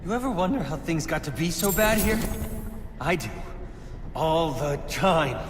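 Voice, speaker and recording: A man's voice: on the high side, animated, unhurried, background noise. A young man playing an idealistic, grandiose anarchist bomber in a video game, speechifying with theatrical fervor. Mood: bitter, disillusioned. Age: young adult. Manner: impassioned, grandiose oratory. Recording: long reverb with loud music behind.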